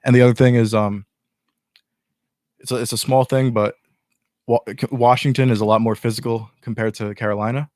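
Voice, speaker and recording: A man's voice: mid-range voice, steady tone, brisk, long pauses, darkish tone, no room sound, clean studio sound. A young man guesting on a casual chat show, earnestly breaking down his team's chances. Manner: earnest, explains at length with restarts.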